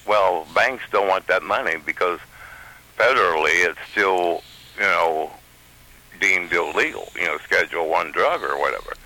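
A man's voice: sits low, normal speed, sharp tone, rough recording. A man calling in to a radio talk show, plainspoken with casual grammar, arguing his point. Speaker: caller. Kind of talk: call-in radio conversation.

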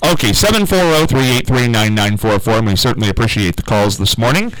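A man with a deep voice hosting a call-in radio talk show in a relaxed, conversational way, from a small carpeted studio. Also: a little fast, no echo, rough recording. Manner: relaxed, conversational, takes calls.

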